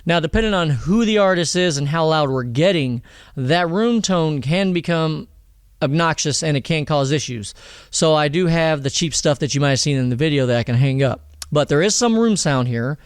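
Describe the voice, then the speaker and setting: Man, thin voice, smooth and clear, dry close sound, casual rambling mixing tutorial. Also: fairly high pitch, animated, moderate pace, dry recording. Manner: chatty, rambling, frequent "all right?" and "okay?". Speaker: music producer teaching vocal mixing. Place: home studio.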